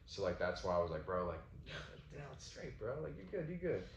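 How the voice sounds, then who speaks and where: A man's voice: sits low, sing-song, quick pace, gravelly, slight background noise. A man from Southwest Florida, a ring announcer and co-host, chatting loosely with lots of "like" and "you know". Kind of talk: casual chat. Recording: echoey room, slightly dull sound.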